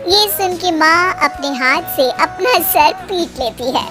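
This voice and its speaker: A woman with an extremely high, childlike pitch narrates a cartoon story from a script. Music is clearly audible behind her voice. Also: expressive, slow.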